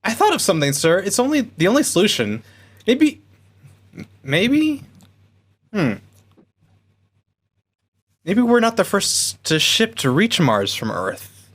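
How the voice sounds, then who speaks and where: A woman's voice: medium pitch, sing-song, slow pace, pauses often, breathy edge, exaggerated voice. A woman playing an earnest, tentative junior spaceship officer in a comic radio play, searching for words. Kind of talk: cold-read comic radio sci-fi play.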